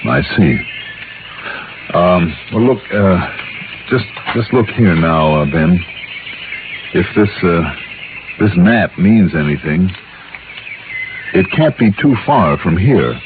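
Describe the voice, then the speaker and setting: A man's voice: low voice, animated, music in the background. An actor playing a wry, easygoing insurance investigator, narrating his case conversationally in 1950s radio detective style. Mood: friendly, probing. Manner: conversational, folksy asides, hesitant pauses. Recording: tinny old radio broadcast recording.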